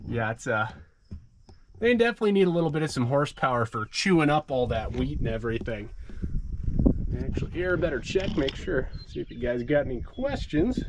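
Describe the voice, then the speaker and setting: Stuffy-nosed farmer, noisy outdoor stream. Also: male voice, medium pitch, a little fast. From Montana. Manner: relaxed rambling with fillers, greets chat viewers. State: stuffed up from a cold. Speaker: farmer livestreaming. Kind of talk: casual live walkthrough.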